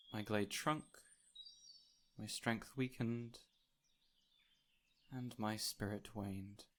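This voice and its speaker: A man playing an ancient, whimsical fey spirit in an audio roleplay, speaking in a gentle, courtly, theatrical way with lingering pauses. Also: medium pitch, close to an everyday voice. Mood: wistful. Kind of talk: audio roleplay addressed to the listener.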